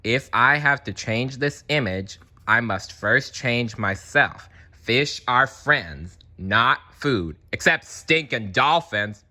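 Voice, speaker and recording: A man's voice: medium pitch, animated, takes it slowly, pushed and bright, exaggerated voice. A man voicing a storybook shark, mock-solemn and scornful, with an emphatic, mocking manner. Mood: solemn pledge.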